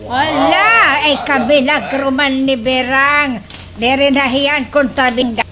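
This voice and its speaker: An actress playing a chattering, suspicious village neighbour in a slapstick radio drama, exclamatory and gossipy. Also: female voice, high voice, fairly even intonation, slow, a crowd in the background. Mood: gossipy surprise.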